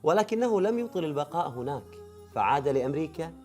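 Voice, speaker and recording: A man narrating a documentary and putting questions to a guest, brisk and matter-of-fact. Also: a little high, very animated, darkish tone, faint music behind. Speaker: narrator and interviewer.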